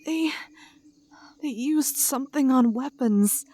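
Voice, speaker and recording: Woman playing a slow, high-voiced wounded princess. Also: dark tone. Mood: weak, shaken. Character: injured, weakened young princess, selfless.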